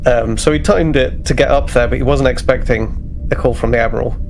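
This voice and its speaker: A man's voice: mid-range voice, fairly even intonation, brisk, gravelly, over music. A man playing in a tabletop game podcast, explaining his character in a casual, hedging way. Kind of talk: casual improvised roleplay chat.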